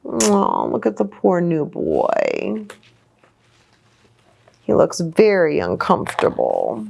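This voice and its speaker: A woman hosting a children's story time, reading a picture book in an expressive, sing-song way and speaking to young children. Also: lowish voice, takes it slowly, vocal fry, slightly rough. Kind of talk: animated children's picture-book read-aloud.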